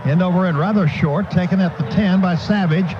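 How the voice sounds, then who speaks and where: A high-pitched American man calls football play by play for radio. He narrates each move in clipped, present-tense bursts. The sound is muffled, with clear stadium noise behind him. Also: fairly quick. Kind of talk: live football play-by-play commentary. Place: stadium broadcast booth. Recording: muffled, noisy old radio broadcast.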